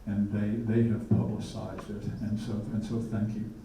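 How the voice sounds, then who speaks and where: A man's voice: fairly low pitch, little pitch movement, normal speed. A man, a public health official, giving a presentation and often stumbling into repeated words. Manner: many restarts and repeated words. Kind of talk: presentation at a community forum. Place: lecture hall. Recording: echoey hall, mic far off, faint noise.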